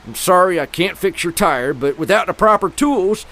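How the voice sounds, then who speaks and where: An actor playing a grumpy old American filling-station owner in a comic audio drama, folksy, gruff and dismissive. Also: male voice, sits high, animated, moderate pace, fairly bright tone.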